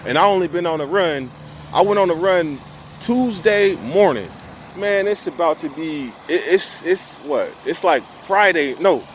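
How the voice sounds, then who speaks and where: A man's voice: fairly high pitch, lively intonation, a little slow, a little hiss or hum. A young man telling a long story to his viewers in casual street slang, cussing and restarting his sentences often. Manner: profane, rambling, street slang; restarts and repeats himself often.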